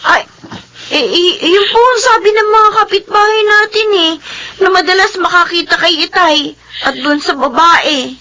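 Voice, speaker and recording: An actress playing a sad, respectful young daughter in a Filipino radio drama, hesitant and polite. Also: female voice, very high voice, moderate pace, bright, forceful tone.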